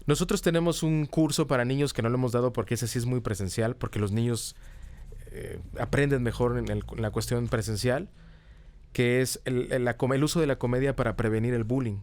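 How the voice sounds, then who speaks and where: A man's voice: medium pitch, brisk, a little mellow. A middle-aged man with a Mexican accent, explaining things casually in an interview and often ending phrases with a checking tag. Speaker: podcast guest, comedy teacher and writer. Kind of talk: casual conversational interview answers.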